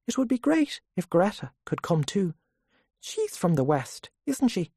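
A narrator voicing a sharp, teasing young nationalist woman with a challenging, questioning manner, in an audiobook story. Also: medium pitch, very animated, unhurried, slightly rough, dry recording, studio quality, pitched above the performer's own voice, heavily acted. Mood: friendly, eager.

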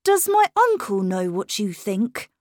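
A woman's voice: mid-range voice, sing-song, a little slow, no echo, studio quality. A woman voicing a polite, charming young boy who knows he has the upper hand, in an audiobook reading. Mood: polite, insistent. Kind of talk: audiobook character voice.

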